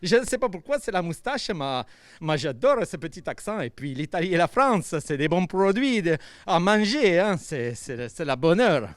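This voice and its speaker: A man's voice: high-pitched, very animated, moderate pace, no echo. A man voicing a cheerful, boastful male puppet chef who stammers and speaks with a put-on Italian accent, outdoors by the sea. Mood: stammering. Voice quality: stammering, bubbly. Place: outdoors by the seafront.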